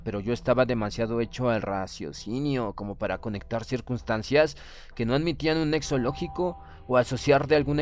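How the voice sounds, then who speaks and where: A man narrating a horror audiobook in the first person, with a measured, solemn and literary delivery. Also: medium pitch, darkish tone.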